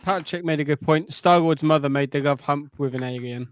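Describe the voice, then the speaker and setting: A man's voice: a little high, fairly quick, no echo. A man chatting casually as a panelist on a pop-culture podcast.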